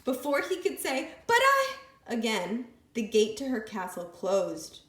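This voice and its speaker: A woman reading a picture book aloud with expressive, lively storytelling. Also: a little high, a little slow. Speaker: storybook reader. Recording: echoey room.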